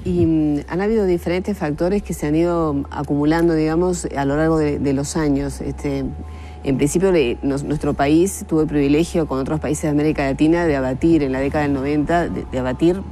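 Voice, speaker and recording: Female doctor as interview guest, Uruguayan. Notably deep and mellow, explains in a measured, teacherly way. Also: steady tone, a little hiss or hum. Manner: measured, didactic, asks and answers own questions. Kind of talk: spontaneous expert explanation.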